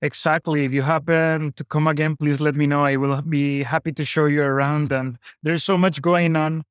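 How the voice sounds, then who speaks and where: A man with a Latin American Spanish accent and a smooth, clear voice pitched slightly high. He speaks conversationally as an interview guest, in a dry recording. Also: little pitch movement, moderate pace, no echo. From Colombia, grew up in Costa Rica. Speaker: podcast guest, company founder.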